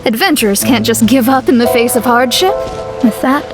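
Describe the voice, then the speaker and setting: Woman acting a proud, high-voiced young astrologer. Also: a little fast, music in the background, natural voice. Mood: solemn. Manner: formal, lofty, self-assured. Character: proud, serious young female astrologer, haughty and earnest. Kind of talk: video game voice acting.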